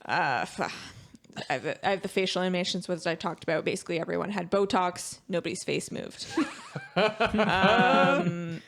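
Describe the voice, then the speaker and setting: A woman's voice: lowish voice, moderate pace, vocal fry, fairly bright tone. A woman chatting casually as a panelist on a film review podcast, informal and jokey in how she talks.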